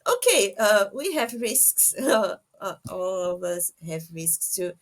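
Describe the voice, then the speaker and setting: A woman's voice: mid-range voice, sing-song, slow pace. A woman hosting a casual interview, speaking hesitantly as she searches for words. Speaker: interviewer, podcast host.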